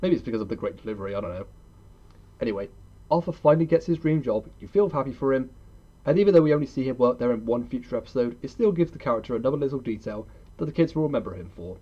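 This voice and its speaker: A man's voice: mid-range voice, expressive, quick pace, husky, lo-fi sound. A young man narrating a scripted countdown review in an opinionated, conversational manner. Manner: opinionated, conversational, rhetorical questions. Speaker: video host and reviewer.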